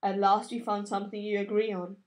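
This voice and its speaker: A woman voicing a weary, stern but gentle noble father in an audiobook, speaking in a measured, grave way. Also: mid-range voice, flat delivery, close to an everyday voice. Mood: weary, impatient.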